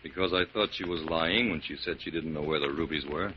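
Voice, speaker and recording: A man's voice: very deep voice, a little fast. A man playing a captured killer confessing in a resigned, matter-of-fact way in a 1940s radio drama. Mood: resigned confession. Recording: muffled, noisy 1940s radio recording.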